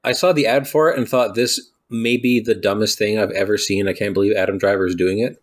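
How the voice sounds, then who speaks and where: A man's voice: on the low side, steady tone, fast. A man co-hosting a podcast in casual book-discussion chat, wry and joking, laughing often. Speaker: podcast co-host.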